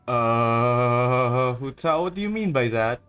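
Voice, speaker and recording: A man's voice: medium pitch, expressive, takes it slowly, smooth, highs cut off. A man speaking conversational lines of video game dialogue.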